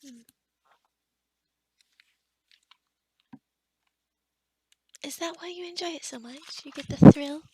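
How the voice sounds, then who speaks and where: Woman acting a high-voiced, scatterbrained kind witch. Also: fast talker, warm tone, slight background noise, natural voice. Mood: distracted muttering. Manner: rambling, muttering to self, whispery asides. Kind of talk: ASMR roleplay.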